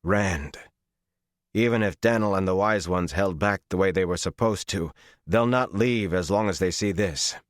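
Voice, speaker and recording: A male reader acts an earnest young warrior in a fantasy audiobook. He sounds low, firm and anxious, pleading with urgency. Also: normal speed, no echo, clean studio sound, natural voice. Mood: urgent, anxious. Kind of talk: audiobook character acting.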